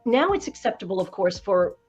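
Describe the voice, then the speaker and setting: Woman, 60s, voice coach guest, slightly low voice, emphatic storyteller, dull podcast audio. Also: very animated, brisk, darkish tone, husky, soft background music. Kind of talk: conversational podcast interview.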